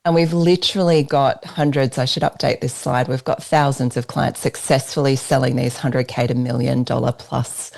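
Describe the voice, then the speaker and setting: An Australian woman presents a live business workshop, talking through her slides. Her voice sits low and carries a gravelly fry. She delivers it as an emphatic, persuasive sales pitch. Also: fairly even intonation, normal speed, hardly any pauses, darkish tone. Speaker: business strategist leading a workshop.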